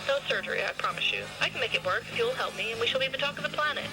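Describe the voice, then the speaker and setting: A performer voicing a pompous, flattering male fashion designer with a theatrical, flowery manner in an audio story, with music behind. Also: mid-range voice, rapid-fire, voice pushed up, natural voice, low-quality recording. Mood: persuasive.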